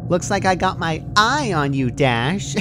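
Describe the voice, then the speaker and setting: A man's voice: a little high, sing-song, a little slow, background noise, voice pushed up. A man voicing a gleeful, sadistic female cartoon pony in a horror fan story, jovial and joking with mock sweetness. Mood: manic glee. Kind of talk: horror fan fiction character voice.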